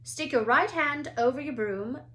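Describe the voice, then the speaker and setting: Woman playing a brisk, barking flying teacher. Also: high-pitched, a bit bright, pitched above the performer's own voice. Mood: barking, commanding. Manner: barking, commanding. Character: brisk, bossy older female flying teacher, barking orders.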